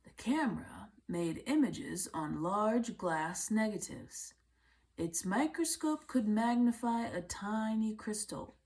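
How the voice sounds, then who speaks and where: A woman reading a children's book aloud in a measured way, with deliberate phrasing and pauses. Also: mid-range voice. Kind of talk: read-aloud storybook narration.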